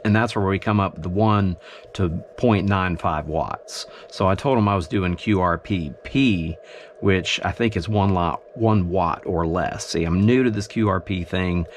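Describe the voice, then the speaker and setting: Low-voiced man over background noise. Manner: halting, thinking aloud as he explains. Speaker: amateur radio hobbyist demonstrating his gear. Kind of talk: casual unscripted demonstration talk. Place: indoors in a home radio shack. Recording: clear steady background noise.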